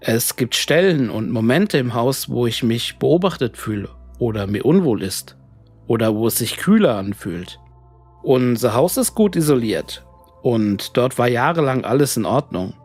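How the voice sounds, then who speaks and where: A man voicing a worried, sober widowed father in his forties, reading his formal, measured letter in a horror story. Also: mid-range voice. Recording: music bed under the voice.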